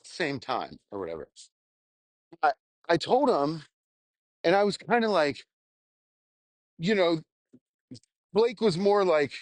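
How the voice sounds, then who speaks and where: Halting, candid young man, dry close sound. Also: a little high, no echo. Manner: halting, candid, self-reflective, swears casually. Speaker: stand-up comedian. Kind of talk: casual rambling monologue, audio journal.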